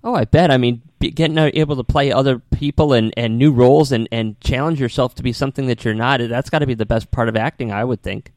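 A man's voice: mid-range voice, steady tone, quick pace, few pauses, a little mellow, no echo. Rambling, conversational male radio host. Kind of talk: casual radio interview chat.

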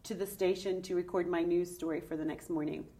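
Woman, middle-aged, interview guest, candid, halting, distant from mic. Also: fairly low pitch, fairly even intonation, warm tone. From Ireland. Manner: candid, searching, with fillers and restarts. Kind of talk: personal story in an interview.

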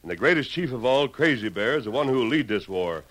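A man's voice: mid-range voice, lively intonation, brisk, a bit bright, natural voice, poor audio. An actor playing a heroic masked cowboy lawman in 1950s radio western style, speaking with earnest authority and precise diction. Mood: grave, urgent. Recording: hissy old radio broadcast.

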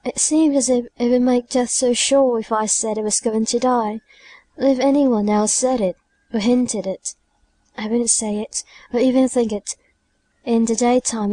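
A woman voicing a frightened, dying young woman in an audiobook, plaintive and halting as she pleads. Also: fairly high pitch, mellow, close to an everyday voice. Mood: confiding, fearful. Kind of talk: audiobook character dialogue.

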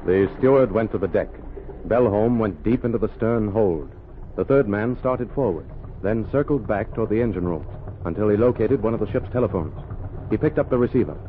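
A man's voice: lowish voice, lively intonation, fairly quick. A man reading scripted narration for a 1930s radio drama, on a tinny, noisy old recording.

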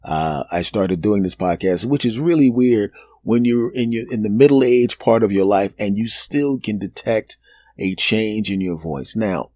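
Joking low-voiced man in his fifties. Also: darkish tone, no room sound. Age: 50s. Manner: rambling, jokey, laughs at own jokes, drops into low asides. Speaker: podcast host. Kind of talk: solo comedic podcast monologue.

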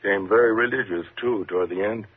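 An actor playing a calm, measured, patronizing prison warden in a 1950s radio thriller. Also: male voice, lowish voice, breathy edge. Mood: grave, firm. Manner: measured, reassuring, condescending. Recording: muffled, lo-fi 1950s radio recording.

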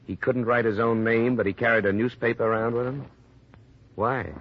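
An actor playing a tough, sober private investigator, terse and matter-of-fact, in a 1950s radio drama. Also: male voice, medium pitch, fairly even intonation, moderate pace, a little hiss or hum, natural voice. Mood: probing, grave. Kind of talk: 1950s radio drama, first-person hardboiled narration and dialogue. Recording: muffled vintage radio recording with music.

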